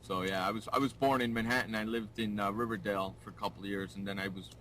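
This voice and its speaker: A man's voice: on the low side, fairly even intonation, quick pace, a bit bright. A man hosting a radio show with casual interview banter. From New York, United States. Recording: background noise and room ambience.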